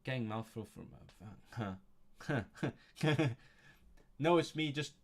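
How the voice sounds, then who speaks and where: A man in a casual livestream works through a textbook, reading passages aloud and then musing on them in his own words. He sits at an ordinary middle pitch and chuckles to himself often. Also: expressive, takes it slowly. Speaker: language learner streaming his study session.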